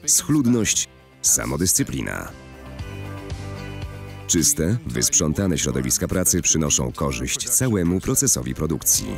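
A man with a deep, low voice narrates a corporate presentation. His delivery is formal and measured, with lively rises and falls in pitch. Soft music plays behind his studio-recorded voice. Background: faint music.

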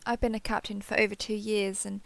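A woman playing an earnest, affectionate starship captain in an intimate sci-fi audio roleplay, speaking haltingly and confessionally. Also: mid-range voice, flat delivery, creaky, a bit bright, no room sound. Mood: reflective, fond. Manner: hesitant, halting, apologetic, confessional.

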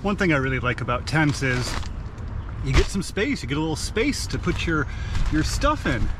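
A man's voice: on the high side, normal speed. A man in his thirties with an American accent, chatting casually as a camping vlogger in the woods, keeping his voice down. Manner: relaxed and chatty, quiet to stay unnoticed. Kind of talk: casual vlog narration. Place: outdoors in a wooded lot. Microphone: action camera on a tripod nearby. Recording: outdoor camera audio with clear traffic noise.

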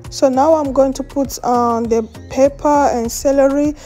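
A woman's voice: high voice, steady tone, normal speed, dark tone, faint music behind. Chatty woman speaking English as a second language. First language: French or Swahili. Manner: chatty, laughs often, searches for words. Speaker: cooking vlogger. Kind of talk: casual cooking vlog. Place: outdoors on a patio and in a home kitchen.

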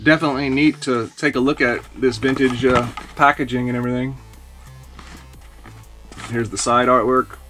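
A man in his forties casually talking through thrifted toys in a small shelf-lined room, relaxed and rambling with many fillers. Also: medium pitch, moderate pace, long pauses, faint music behind. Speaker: toy reseller vlogger. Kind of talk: casual haul video. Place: small indoor toy room lined with shelves.

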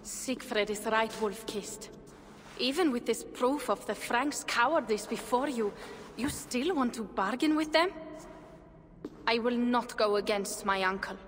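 A woman's voice: on the high side, unhurried, fairly bright tone. A young woman playing a loyal Norse warrior, earnest, bitter and firm. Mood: firm, regretful. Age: young adult. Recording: long hall reverb, faint ambient noise.

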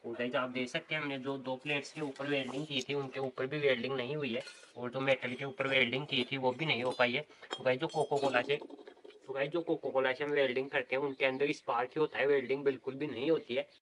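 A man's voice: mid-range voice, little pitch movement, fast, a bit bright, a little hiss or hum. A man in his twenties hosts an experiment video outdoors on a rooftop, talking to his viewers as "guys" and explaining each step of the setup. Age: 20s. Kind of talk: casual experiment vlog narration. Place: outdoors on a sunny rooftop terrace.

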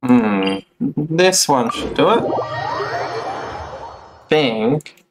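A young man giving casual, unhurried gameplay commentary, musing to himself as he plays. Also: fairly high pitch, lively intonation. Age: young adult. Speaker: game streamer. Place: small room indoors at home. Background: game music.

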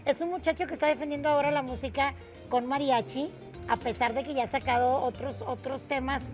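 A middle-aged Mexican woman reporting on a TV entertainment show, gossipy and animated, full of playful slang. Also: high voice, a little fast. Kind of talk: lively TV entertainment chat. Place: TV studio. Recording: music bed under studio talk.

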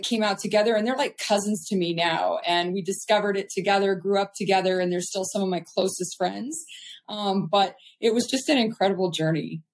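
A middle-aged woman from California talks as an interview guest, upbeat and chatty, with plenty of small fillers. Her voice sits at an ordinary middle pitch. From California, USA. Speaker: guest entrepreneur. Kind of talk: casual interview storytelling.

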